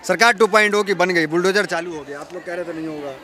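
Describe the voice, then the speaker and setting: A man's voice: high voice, quick pace, bright, forceful tone. A man working as a street reporter, asking prodding, conversational questions in an informal interview on location. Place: outdoors in a public place. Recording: outdoors with faint crowd behind.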